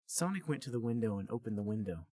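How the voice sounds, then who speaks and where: Male podcast reader: dramatic, mock-serious narration with very lively pitch movement. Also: fast talker, breathy edge. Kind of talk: mock-serious comic story reading.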